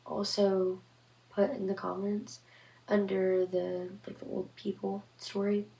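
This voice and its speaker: A young woman with a flat, monotone delivery and a gravelly edge to her voice, rambling on with lots of fillers as she hosts her scary story channel. She sits back from the mic in an echoey room. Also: mid-range voice, slow pace, distant mic. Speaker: horror story channel host. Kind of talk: casual vlog commentary. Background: occasional passing train.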